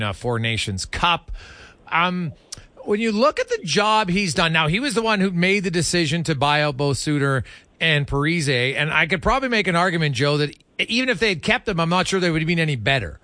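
A man's voice: medium pitch, pushed and bright, no echo. A man hosting a sports radio interview, conversational and opinionated, putting his views into his questions.

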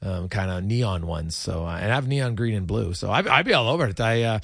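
A man hosting a casual sports talk show, speaking in a halting, repetitive way. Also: fairly low pitch, lively intonation, creaky, a bit bright, husky, no echo, clean studio sound.

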